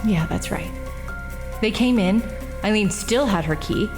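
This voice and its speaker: A woman's voice: medium pitch, over music, close to an everyday voice. An actress playing a bitter, defiant woman who confesses a killing in a radio drama. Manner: bitter, defiant, rushed when reliving the fight.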